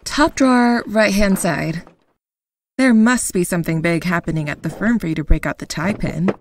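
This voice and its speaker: A woman's voice: fairly low pitch, moderate pace, slight background noise, lightly acted. Woman playing a breezy, teasing superheroine wife. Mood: casual. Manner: nonchalant, teasing. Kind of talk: audio comedy drama acting.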